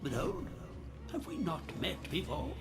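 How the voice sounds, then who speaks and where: A man playing a chatty, world-weary undead ferryman of lost souls in a video game, with a gravelly, theatrical delivery. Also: fairly high pitch, wildly swooping pitch, measured pace, full character voice. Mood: grandly solemn. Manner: theatrical greeting sliding into chummy grumbling. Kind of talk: video game character acting. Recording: loud music behind the voice.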